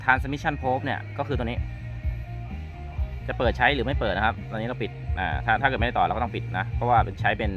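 Low-voiced man, muffled demo recording. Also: a little fast. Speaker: product trainer demonstrating network testers. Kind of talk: informal hands-on product walkthrough. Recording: muffled lo-fi audio, music underneath. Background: background music.

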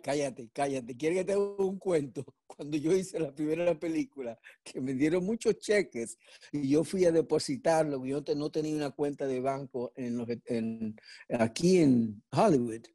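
An older man with a Dominican Spanish accent tells stories in a mellow, slightly raised voice. He talks animatedly, breaking off and restarting his sentences. The sound is dry and close, picked up by an earbud mic on a video call from a room at home. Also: on the high side, no echo. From Dominican Republic. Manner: animated, halting with restarts and repeats. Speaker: actor guest. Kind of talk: casual storytelling interview. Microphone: earbud headset on video call.

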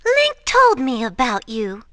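A woman's voice: high-pitched, wildly swooping pitch, takes it slowly, very noisy, exaggerated voice. A woman playing a flirty, self-satisfied lady in a cartoon video game, breezy and arch. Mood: smug, flirty.

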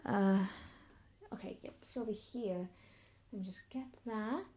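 A woman playing a caring, affectionate girlfriend in an ASMR comfort roleplay, speaking softly and gently with hesitant, reassuring warmth. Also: mid-range voice, unhurried, husky. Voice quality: soft, gentle, sometimes dropping to a whisper.

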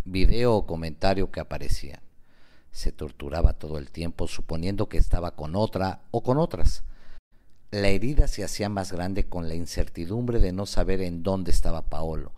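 A man narrating a radio novel in a measured, dramatic way with deliberate emphasis. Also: low-pitched, warm tone.

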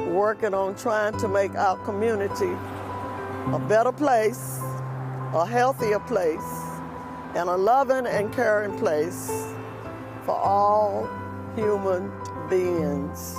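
A woman in her eighties, a retired nurse, slowly and deliberately recalling her working life in an outdoor interview. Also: medium pitch, music in the background. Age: 80s. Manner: slow, deliberate storytelling. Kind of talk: interview recollection. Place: outdoors by a city street.

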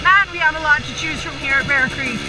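A woman's voice: high-pitched, a little fast, poor audio. A young woman giving upbeat riding tips while out on a forest trail on a dirt bike, recorded on a helmet camera mic. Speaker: motovlogger riding instructor.

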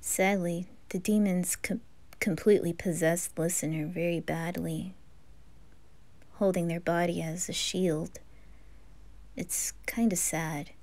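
A woman voicing a cool, knowing young anime boy who explains things in a measured, hesitant way. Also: lowish voice, steady tone, darkish tone. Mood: matter-of-fact. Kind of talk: anime fan roleplay.

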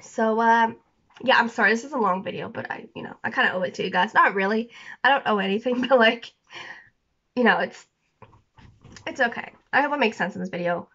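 A woman's voice: a little high, a little fast, pauses often, bright, forceful tone, dull audio. A young woman vlogging casually from her bedroom, rambling with lots of fillers and restarts, a bit sniffly with a scratchy throat. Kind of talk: rambling casual chat to camera. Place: small bedroom indoors.

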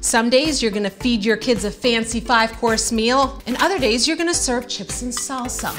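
A woman from Croatia, around her forties, hosting a comedic cooking show in a chatty, self-mocking, animated way. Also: fairly high pitch, normal speed. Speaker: host of a cooking and parenting show. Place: home-style kitchen, indoors. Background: music.

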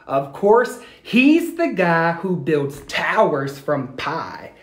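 A middle-aged man reads to children at a slow, expressive pace. He speaks directly and encouragingly to his young listeners. It is recorded on a phone in an echoey room at home. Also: fairly high pitch. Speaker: educator reading aloud to schoolchildren. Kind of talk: expressive children's read-aloud.

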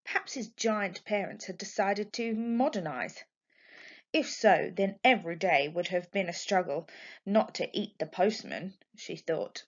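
A woman's voice: mid-range voice, unhurried. Woman reading a storybook, dull lo-fi sound. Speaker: primary school teacher reading a children's book aloud. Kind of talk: expressive storybook narration. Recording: dull, lo-fi home recording.

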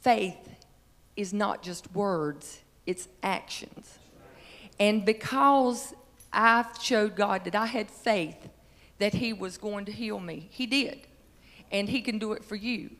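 A woman in her fifties with a slightly raspy voice gives an earnest, halting testimony of faith to a congregation, speaking into a handheld microphone. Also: mid-range voice, fairly bright tone. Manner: earnest, halting, emphatic on key phrases. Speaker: church member giving testimony before singing. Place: large church sanctuary. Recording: reverberant church hall through handheld microphone.

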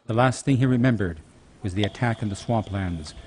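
A man's voice: on the low side, a little fast, darkish tone, slight background noise. A man narrating a fantasy radio drama as scripted dramatic storytelling.

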